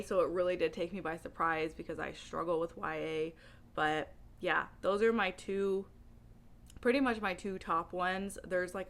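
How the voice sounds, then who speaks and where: A woman talking casually to camera as a book vlogger, with an animated, emphatic way of speaking. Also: on the low side.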